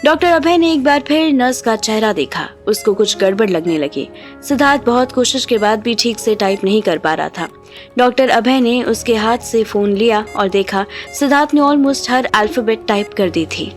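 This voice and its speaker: A woman's voice: on the high side, expressive, normal speed. A woman reading scripted narration as the storyteller of an audio drama. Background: background music.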